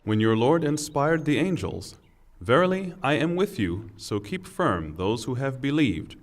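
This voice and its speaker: Guy reading holy text, solemn n measured, declamatory, voice really rises and falls. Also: mid-range voice, very animated. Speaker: reader of scripture translation. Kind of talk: solemn scripted scripture reading.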